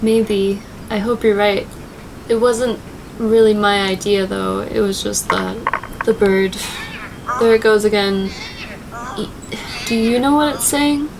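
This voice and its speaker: A woman's voice: medium pitch, steady tone, slow, gravelly, long pauses. A woman playing a weary, skeptical young traveler in an audio drama, speaking in a casual, wry way. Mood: tired, wry. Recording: noisy ambience behind.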